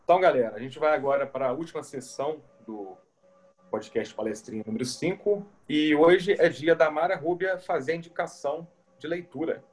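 A man's voice: fairly high pitch, fairly quick, darkish tone. A man hosting a podcast from home, talking in a reflective, hesitant way. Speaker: podcast host. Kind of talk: casual podcast chat.

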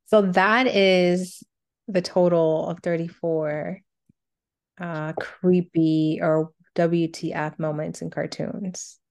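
A woman's voice: fairly low pitch, fairly even intonation, slow pace, darkish tone. A woman co-hosting a casual countdown show, reading out entries and reminiscing in a conversational way. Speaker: co-host of a cartoon countdown show.

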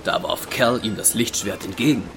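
An actor as the sober narrator of an adventure audio drama, reading scripted narration. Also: male voice, fairly low pitch, moderate pace, gravelly, background noise. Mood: sober, suspenseful.

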